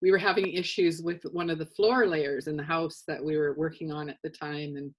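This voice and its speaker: A middle-aged woman with a low voice, co-hosting a casual chat and telling stories with dry humor and frequent laughter. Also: steady tone, a little fast. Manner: chatty storyteller, dry humor, laughs often. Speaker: co-host. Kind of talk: casual chat on a live show.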